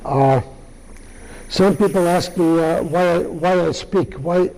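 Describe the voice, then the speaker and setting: Older man, halting speech, mellow tone, fairly high voice. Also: creaky, low-quality recording. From Lithuania. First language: Yiddish. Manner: halting, many pauses and restarts. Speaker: Holocaust survivor speaker. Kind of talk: answering audience questions.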